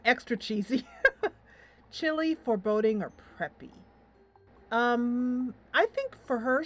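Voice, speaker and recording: A woman hosting a casual let's play, thinking aloud in a playful, giggly way as she comments on the game. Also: mid-range voice. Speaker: host of a gaming channel.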